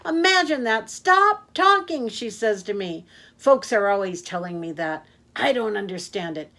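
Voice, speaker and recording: A woman voicing a chatty male yak taxi driver who rambles and complains without pause. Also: animated, bright, forceful tone. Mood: chattering. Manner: rambling, complaining, fast and high.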